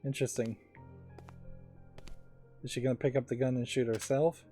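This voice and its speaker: A man with a relaxed, mellow voice at a middle pitch. He talks through a game casually and analytically, in a measured, musing way, over faint game music. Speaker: let's play host. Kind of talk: casual reflective gameplay commentary.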